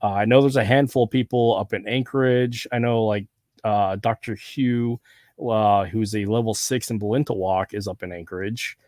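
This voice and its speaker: A man's voice: on the low side, dry recording, clean studio sound. A man from Southern California with a laid-back accent that has a Hawaiian touch, talking casually as a podcast host. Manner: relaxed, rambling, quick to agree. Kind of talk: casual conversation.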